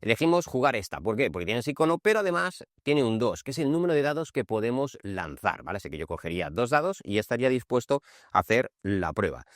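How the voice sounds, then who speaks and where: A man's voice: mid-range voice, sing-song, fast talker, no echo, clean studio sound. A man with a Spanish accent from Spain gives an informal board game tutorial, conversationally walking through rules and often checking that listeners follow. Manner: conversational, checking understanding with frequent short tags. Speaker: board game channel host.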